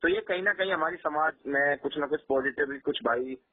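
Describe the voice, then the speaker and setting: A man's voice: fairly high pitch, fairly quick, slightly rough. A man talking earnestly on a phone call, emphatic and often repeating his phrases. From Rajasthan, India. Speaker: local journalist and community activist, being interviewed. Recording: tinny, muffled phone call recording.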